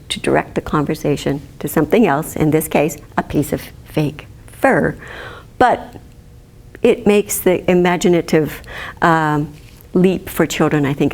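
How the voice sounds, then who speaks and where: A woman in her fifties gives a chatty lecture on storytime puppets, her voice pitched somewhat low for a woman. She is animated and laughs easily. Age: 50s. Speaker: children's librarian teaching. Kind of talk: demonstration lecture.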